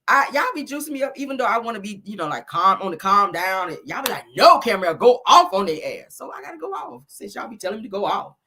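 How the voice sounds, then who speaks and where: A woman hosting a celebrity gossip show with sassy, animated commentary, her voice a little sick and deeper than usual. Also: fast talker, few pauses. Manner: loud, sassy, calls viewers honey and baby.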